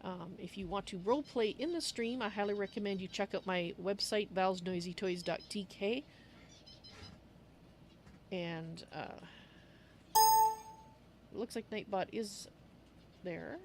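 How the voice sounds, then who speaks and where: A woman hosting a livestream, chatting casually in a halting, explanatory way. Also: mid-range voice, expressive, slight background noise. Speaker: livestream host.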